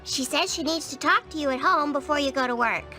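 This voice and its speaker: A woman's voice: high voice, brisk, music in the background, close to an everyday voice. An actress voicing an earnest, precocious schoolgirl in a cartoon game, with a precise, exasperated American delivery. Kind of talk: animated comedy game voice acting.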